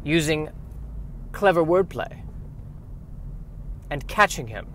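Casting: male actor for naive, self-assured gentleman scientist, pompous and formal, radio comedy, slight noise. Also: fairly high pitch, lively intonation, takes it slowly. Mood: confident. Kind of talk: comedic sci-fi radio play acting. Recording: noisy recording.

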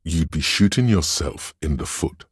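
A man voicing a video game's inner voice of logic, measured, dry and condescending, narrating to the player in a gravelly, husky way. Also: very deep voice, very animated, no room sound, exaggerated voice. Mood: measured, analytical. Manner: measured, deliberate, dry, superior. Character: inner voice of cold logic, a man's analytical, condescending mind.

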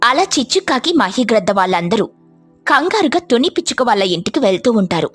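A woman delivers scripted narration for a children's story. She takes the role of storyteller, and soft music plays faintly behind her voice. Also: fairly high pitch, very animated, fast talker, breathy edge.

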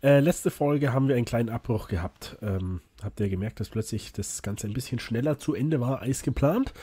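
Hoarse man with a dark voice. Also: medium pitch, brisk, no room sound. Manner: chatty, rambling, frequent fillers and restarts. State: slightly hoarse from illness. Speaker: let's play host. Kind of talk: casual gameplay commentary.

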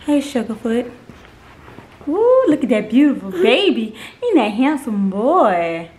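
Hoarse high-voiced woman vlogger. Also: big pitch swings, unhurried, darkish tone. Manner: upbeat, playful, motherly with her kids. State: hoarse from a cold. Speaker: vlog host. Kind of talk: casual chat to camera.

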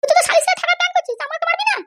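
A performer voicing a shrill, defiant young wife who screeches accusations in a comedy cartoon. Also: steady tone, racing, a bit bright. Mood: indignant.

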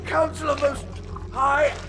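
An actor playing a haughty, zealous old alien prophet in a game, preachy and declamatory. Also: male voice, very high-pitched, steady tone, slow, music in the background. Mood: pompous.

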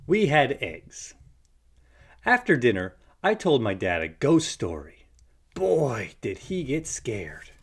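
A man narrating a picture book aloud for young children in an expressive storytelling manner. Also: mid-range voice, takes it slowly, creaky, slightly rough.

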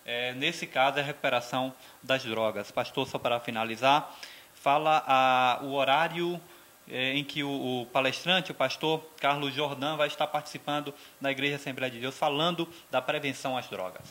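A young man interviewing a pastor for local TV, asking halting questions with fillers into a handheld mic in a small studio. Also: mid-range voice, fairly even intonation, bright, forceful tone. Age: young adult. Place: small studio in front of a logo backdrop.

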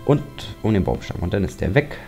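A deep-voiced man chats casually as he plays, cracking jokes and grumbling at the monsters that attack him. His tone is dark and mellow, and soft game music plays behind him. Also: sing-song, brisk, creaky. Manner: chatty, joking, grumbles at mobs. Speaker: Let's Play commentator. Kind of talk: casual gameplay commentary.

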